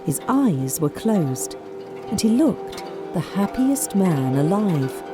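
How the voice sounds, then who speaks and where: Lady reading a folk story, lowish voice, lively and expressive, music behind her. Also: slow. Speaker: storyteller, narrator. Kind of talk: scripted folk tale narration.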